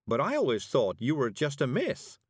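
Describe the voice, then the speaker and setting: A man voicing a slow, dry-witted witch. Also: mid-range voice, wildly swooping pitch, breathy edge, no room sound, voice pushed up. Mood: curious. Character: stern, dry-humoured middle-aged witch woman.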